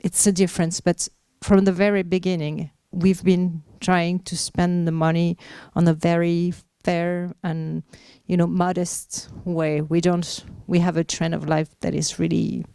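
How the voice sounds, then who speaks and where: Woman exec fielding panel questions; low, mellow and smooth, halting with fillers. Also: little pitch movement, no room sound, clean studio sound. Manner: hesitant, many fillers and restarts. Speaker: media executive answering questions. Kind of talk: panel Q&A answers.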